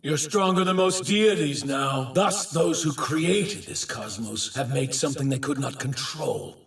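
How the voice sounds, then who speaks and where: Evil anime god, super pompous fanatic, draws words out slow, echoey clip w some stray sfx. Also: male voice, fairly high pitch, gravelly, recorded from a distance. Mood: smug, righteous. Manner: pompous, grandiose declaiming. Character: arrogant, fanatical male god villain who scorns mortals. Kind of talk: theatrical anime dub villain acting. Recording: echoey clips with leftover sound effects.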